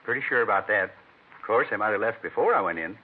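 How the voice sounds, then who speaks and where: An actor playing a rambling, earnest middle-aged American neighbor in an old radio crime drama, plain-spoken and folksy. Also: male voice, on the low side, lively intonation, fast, breathy edge. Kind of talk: 1950s radio acting. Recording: thin, narrow-band vintage radio recording.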